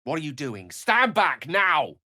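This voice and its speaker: An actor playing an eloquent, courteous and wry wizard in a fantasy video game, with a British accent and articulate, theatrical phrasing. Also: male voice, a little high, wildly swooping pitch, a little slow, sharp tone, no room sound, clean studio sound, exaggerated voice. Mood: indignant. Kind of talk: dramatic fantasy game dialogue.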